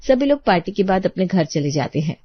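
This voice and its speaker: A woman's voice: on the low side, very animated, a little fast, no room sound, muffled recording. Woman narrator, dry close sound. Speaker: story narrator. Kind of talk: scripted story narration.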